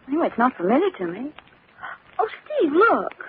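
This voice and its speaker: High, excitable radio detective's sidekick. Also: female voice, normal speed. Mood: curious. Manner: expressive, exclamatory. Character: plucky, excitable female investigator sidekick. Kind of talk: old-time radio drama acting. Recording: muffled, noisy vintage radio recording.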